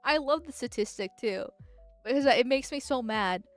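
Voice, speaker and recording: High, nasal-voiced woman streamer. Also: animated, normal speed, soft background music. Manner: rambling, giggly, with frequent filler words. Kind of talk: casual livestream chat.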